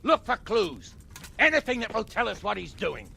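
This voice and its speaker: Older nobleman, gruff, hot-tempered, very gravelly, forceful, commanding, video game cutscene. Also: high-pitched, wildly swooping pitch, moderate pace, slight background noise, heavily acted. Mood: angry, commanding. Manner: blustering, commanding, coarse.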